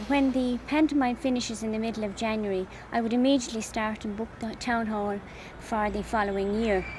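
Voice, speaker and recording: A woman's voice: fairly high pitch, steady tone, fairly quick. An Irish woman in her fifties giving an interview account as an administrator, speaking outdoors in a garden. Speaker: pantomime administrator. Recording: clear outdoor traffic and ambient noise.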